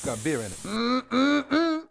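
A man's voice: very high voice, huge pitch swings, normal speed, vocal fry, noisy background. A man in his thirties hosting a cooking show at an outdoor grill, chatty and joking as he cooks. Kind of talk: cooking demonstration.

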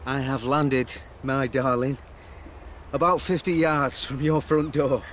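An actor playing a cocky lover, weary from driving, in a naturalistic radio drama. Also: male voice, mid-range voice, fairly even intonation, slow, a bit bright, slight background noise. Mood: playful.

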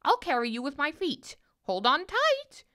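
A woman's voice: high voice, huge pitch swings, unhurried, pushed and bright, pitched above the performer's own voice, heavily acted. A woman voicing a kindly, fussy, motherly female jay in a squawking, exclaiming storybook voice. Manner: exclaiming, fussing. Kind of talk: children's storybook character voice.

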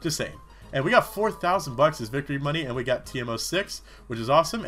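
A man's voice: mid-range voice, sharp tone. A young man giving casual, rambling let's-play commentary, chatting between battles with a sore throat. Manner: rambling, chatty, with many fillers. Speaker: gaming commentator.

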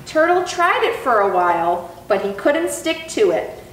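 A young woman reads a children's story in a high, very melodic voice. Her delivery is deliberate and sing-song. The room is echoey, with faint noise behind. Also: big pitch swings, distant mic. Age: young adult. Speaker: zoo educator and storytime host. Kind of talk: expressive children's storybook reading. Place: indoor room, reading through a face mask. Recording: roomy sound with faint background noise.

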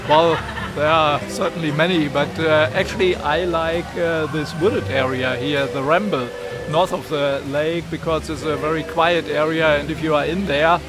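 A man's voice: fairly high pitch, steady tone, moderate pace, over music. An older man from Germany with a German accent, a park volunteer speaking hesitantly with many filler sounds in an outdoor street interview. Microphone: camera mic held by interviewer.

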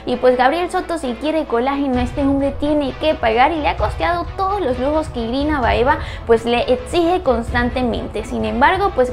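A young woman hosts a celebrity gossip segment in a chatty, dramatic tone, leaning on filler words. Her voice sits high and has a gravelly fry, with light music playing under her. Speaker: celebrity news host. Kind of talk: gossip news presenting. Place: studio in front of a screen backdrop.

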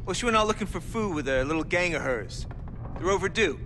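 An actor playing a brash, self-important TV celebrity with a defensive manner in a video game cutscene. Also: male voice, high-pitched, animated, brisk, sharp tone, a little hiss or hum. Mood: dismissive, defensive.

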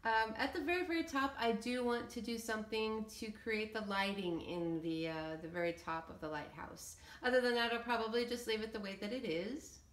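A woman's voice: fairly high pitch, fairly quick, few pauses. A woman giving a casual, hesitant, meandering walkthrough commentary of a game build. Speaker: video creator showing game settlement builds. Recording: roomy, echoey room sound.

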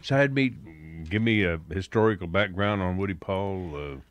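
A man working as a radio interviewer, asking questions in a relaxed conversational way. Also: low-pitched, moderate pace, no echo. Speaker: radio interviewer, disc jockey.

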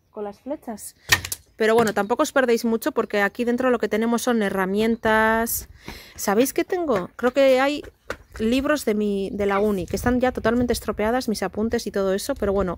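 A woman, chatting casually as she gives a house tour on a phone held at arm's length on an outdoor terrace. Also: on the high side. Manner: chatty, animated, cheering on her son. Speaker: family vlogger. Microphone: handheld phone at arm's length.

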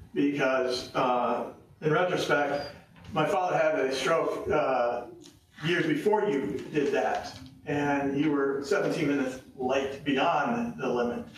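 A tired man addressing a county council from a podium, explaining at length with many filler pauses. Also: on the high side, slight background noise. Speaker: public commenter on tax policy. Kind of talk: explaining at a public meeting. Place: county council meeting room. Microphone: podium mic, heard from a distance. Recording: echoey room, far from the mic.

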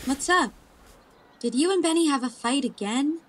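A woman's voice: high voice, expressive, moderate pace. A woman acting a chirpy, inquisitive young girl in an audio drama. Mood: nosy, teasing.

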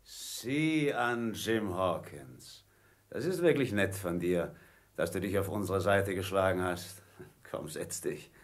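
An actor playing a cunning, commanding pirate ringleader in a radio play, theatrical, by turns wheedling and menacing. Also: male voice, sits low, animated, takes it slowly. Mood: smoothly persuasive.

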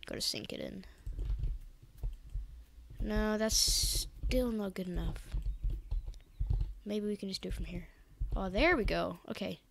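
A teenage girl with a croaky voice from being sick, casually narrating a game build tutorial with rambling, hesitant self-corrections. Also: medium pitch, measured pace, pauses often, slight background noise. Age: teens. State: croaky from illness.

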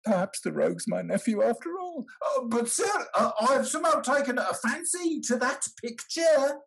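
An older actor playing a polite, hesitant gentleman moneylender in a period comedy, speaking in a halting, courteous way over a video call. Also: extremely high pitch, expressive, vocal fry, heavily acted. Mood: eager, pleading. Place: dim room indoors, video call.

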